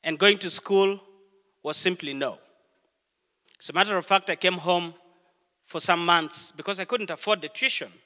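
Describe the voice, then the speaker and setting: A middle-aged man preaches in a church, his bright, ringing voice carrying a long hall reverb. He speaks in a fairly high register, telling stories earnestly and pausing deliberately between phrases. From Uganda. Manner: earnest storytelling with deliberate pauses. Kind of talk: sermon.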